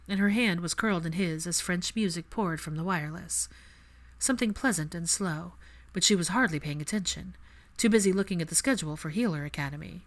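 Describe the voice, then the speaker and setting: Woman, lower-pitched voice, audiobook narrator, scripted fiction reading. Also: a bit bright.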